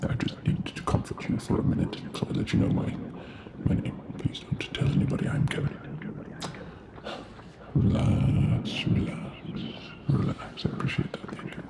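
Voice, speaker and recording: A man playing a gentle, awkward Death in an ASMR roleplay, soothing a patient in a breathy, hesitant half-whisper. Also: normal speed, very noisy, close to an everyday voice. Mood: sheepish. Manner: hesitant, soothing, repetitive, slightly formal.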